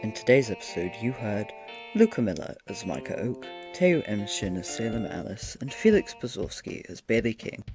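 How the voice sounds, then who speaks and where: Guy reading podcast credits, lowish voice, guitar music clearly underneath. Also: big pitch swings, moderate pace. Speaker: credits reader.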